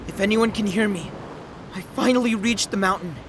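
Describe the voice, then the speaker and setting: A man's voice: high voice, normal speed. Man acting hesitant stranded ex-cop, very noisy recording. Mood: weary, reporting. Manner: hesitant, stammering. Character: fugitive ex-police officer, bewildered man stranded in primeval world. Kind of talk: audio drama acting. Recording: noisy track with loud background ambience.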